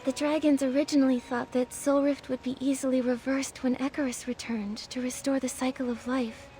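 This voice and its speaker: A woman playing a gentle, earnest young game heroine, speaking softly and thoughtfully. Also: high voice, flat delivery, fairly quick, faint music behind, close to an everyday voice. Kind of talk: game cutscene acting.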